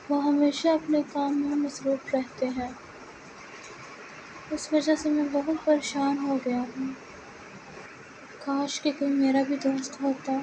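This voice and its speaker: A woman's voice: high-pitched, background noise. A woman voicing a lonely, naive young boy in a children's storybook tale, with a plaintive manner.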